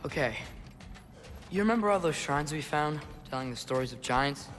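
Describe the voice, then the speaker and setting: A slightly high, youthful voice of an eager, inquisitive teenage son in a video game. He is earnest and explanatory, with faint noise behind him. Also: animated, normal speed, fairly bright tone. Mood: earnest, curious. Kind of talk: video game cinematic acting.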